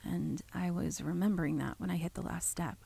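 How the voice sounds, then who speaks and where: An actress playing an uneasy, brooding woman who haltingly records her account in a horror drama, with a husky, gravelly voice. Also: fairly low pitch, dark tone, slight background noise. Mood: hushed, brooding. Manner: halting, brooding, breaking into whispers.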